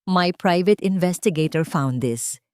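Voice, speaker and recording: A woman's voice: on the low side, a little slow, darkish tone, clean tone, no room sound, clean studio sound, lightly acted. A woman voicing a scheming, wealthy girlfriend with an affectedly sympathetic manner, in an audio romance story. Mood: sugary.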